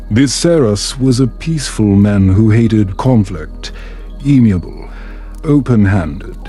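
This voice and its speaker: A man reading a scripted bedtime story in a calming, soothing, measured way. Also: medium pitch. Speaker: storyteller, narrator.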